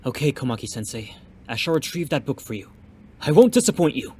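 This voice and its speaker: A man playing an eager, polite young martial arts student in an audio drama, earnest and expressive, in an echoey recording. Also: medium pitch, brisk, close to an everyday voice. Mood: determined. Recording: echoey, reverberant, faint background noise.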